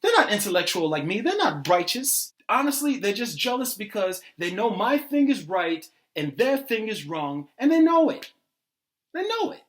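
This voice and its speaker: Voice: medium pitch, big pitch swings, normal speed, close to an everyday voice. A performer playing an arrogant, self-righteous believer in a satirical dialogue, speaking with emphatic, impatient condescension and swearing. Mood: smug, dismissive.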